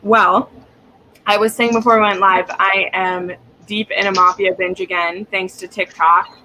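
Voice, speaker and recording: A woman's voice: medium pitch, steady tone, measured pace, bright, forceful tone, slight background noise, poor audio. A woman hosting a casual group chat, gushing and teasing in slangy talk. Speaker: host of a romance readalong livestream.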